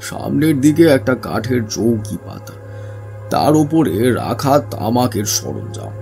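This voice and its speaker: A man voices a calm, brooding wandering mystic in a horror audio drama. His delivery is slow and gravelly, with wide swings of pitch that build suspense over a clear music bed. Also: heavily acted. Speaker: first-person narrator. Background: music and chirping crickets.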